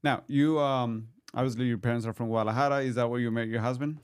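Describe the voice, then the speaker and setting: A man's voice: medium pitch, fairly even intonation, fast talker, clear, resonant tone. A man hosting a casual interview podcast, easygoing, asking questions and filling in with mm-hmms. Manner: easygoing, many backchannel mm-hmms. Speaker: podcast host.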